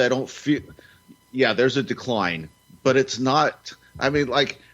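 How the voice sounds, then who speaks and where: A man's voice: mid-range voice, a bit bright. Earnest man in his fifties, muffled. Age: 50s. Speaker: podcast co-host. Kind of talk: casual chat. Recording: dull lo-fi sound.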